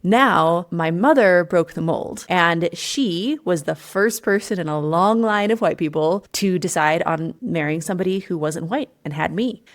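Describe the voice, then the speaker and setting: A woman from Utah speaks as a podcast guest, earnestly and candidly telling her own story. Her voice sits fairly low, and she fills her talk with casual "like"s. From Utah, United States. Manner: warm, earnest, candid, with frequent "like"s. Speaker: executive coach, podcast guest. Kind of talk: personal storytelling in an interview.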